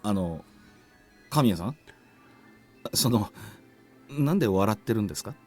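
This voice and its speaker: A man's voice: fairly low pitch, lively intonation, fairly quick, darkish tone, faint music behind. An actor playing a deferential, doubtful male police colleague in a Japanese visual novel. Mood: uneasy.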